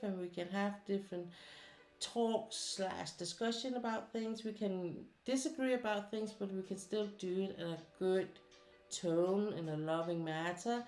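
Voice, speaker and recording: A woman's voice: lowish voice, echoey room. A woman from Denmark with a Danish accent, chatting casually with her live stream viewers while she crafts, rambling with many fillers and restarts.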